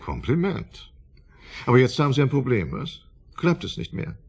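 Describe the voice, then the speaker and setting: A man in a German radio drama playing an irritable, haughty psychiatry professor, husky-voiced, pompous, brusque and condescending. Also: mid-range voice, sing-song, moderate pace, heavily acted. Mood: condescending, amused.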